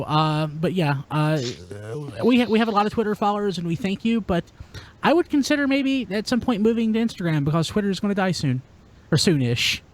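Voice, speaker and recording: A man hosting a podcast in a chatty, informal way, talking casually to listeners and co-hosts. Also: high voice. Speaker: podcast host. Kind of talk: casual podcast talk, sign-off plugs.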